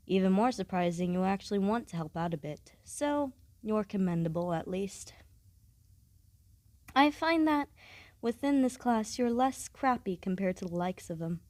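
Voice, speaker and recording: A blunt, aloof schoolgirl type, acted by a woman for an audio roleplay. Her voice is soft-edged and dark, delivered deadpan in formal, matter-of-fact phrases. The recording is close and very dry. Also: mid-range voice, no echo, close to an everyday voice. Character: blunt, aloof, studious female student, coolly matter-of-fact. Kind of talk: scripted audio roleplay addressed to the listener.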